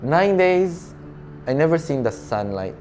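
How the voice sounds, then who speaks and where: Young Syrian refugee, mellow earnest testimony over faint music. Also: male voice, on the high side, big pitch swings. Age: young adult. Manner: soft, halting and earnest, with non-native grammar. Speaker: refugee telling his story. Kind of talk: documentary interview testimony.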